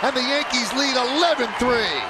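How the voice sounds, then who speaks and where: A man calling a home run on a baseball broadcast, shouting his calls in long drawn-out phrases. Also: very high voice, over loud music. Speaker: play-by-play announcer. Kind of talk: excited baseball radio call. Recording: crowd and stadium noise behind a broadcast. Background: loud ballpark crowd.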